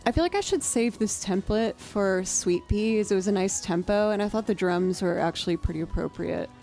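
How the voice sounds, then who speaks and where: A young woman chats loosely on her livestream, musing out loud with plenty of ums and likes. Her naturally low voice has a soft, warm tone. Faint music sits underneath. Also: brisk, creaky. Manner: chatty, thinking aloud, with fillers. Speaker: streamer and musician. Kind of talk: casual livestream chat.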